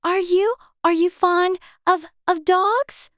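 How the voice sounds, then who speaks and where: A woman's voice: extremely high pitch, steady tone, slow, fairly bright tone, dry recording, studio quality, pitched above the performer's own voice, exaggerated voice. A woman voicing a polite, eager little girl with an earnest, childlike manner in a dramatized audiobook. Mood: eager, chatty. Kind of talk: dramatized audiobook character dialogue.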